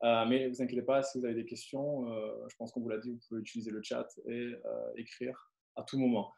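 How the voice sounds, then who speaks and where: A man co-presenting a live webinar, hesitant and halting as he explains. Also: mid-range voice, little pitch movement, gravelly, darkish tone, roomy sound. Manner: hesitant, many fillers and restarts.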